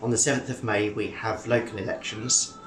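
A man's voice: on the low side, level tone, brisk, reverberant, noisy background. A man in his fifties, a small business owner, speaking plainly and directly about his concerns. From England. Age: 50s. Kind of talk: recorded voter clip.

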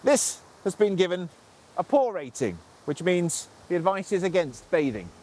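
A man's voice: sits high, sing-song, moderate pace, a little mellow. A man speaking as a television reporter on location outdoors. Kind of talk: piece to camera and interviewing. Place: outdoors by a river.

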